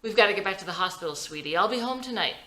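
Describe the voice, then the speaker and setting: Curt doctor husband in a TV drama, read by a woman, dry, dismissive, crisp and bright. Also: mid-range voice, animated, racing. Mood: brisk.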